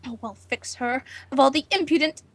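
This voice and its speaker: An actress playing a nervous, imperious housewife on edge, in a melodramatic 1940s radio drama style. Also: female voice, sits high, a little fast, fairly bright tone, no echo. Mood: indignant, exasperated. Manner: haughty, melodramatic, agitated. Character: nervous, imperious, panicking housewife alone at night.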